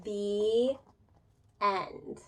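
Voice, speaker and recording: A woman's voice: medium pitch, steady tone, very slow, a little hiss or hum. A woman reading a children's picture book aloud like a preschool teacher, expressively, with casual, chatty asides and questions to young listeners. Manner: informal, chatty explanations.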